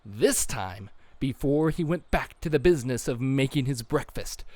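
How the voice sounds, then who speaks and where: A man reading a children's storybook aloud with expressive, animated narration. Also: mid-range voice, moderate pace, dry recording.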